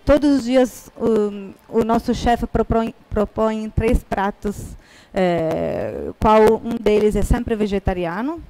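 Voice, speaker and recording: A woman with an Italian accent, a restaurant manager introducing a cooking class with halting, self-correcting speech. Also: medium pitch, a little mellow, rough recording. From Italy.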